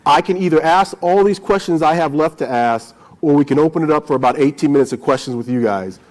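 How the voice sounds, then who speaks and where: A man's voice: fairly high pitch, quick pace, darkish tone. A man moderating a live panel discussion in an informal, conversational way.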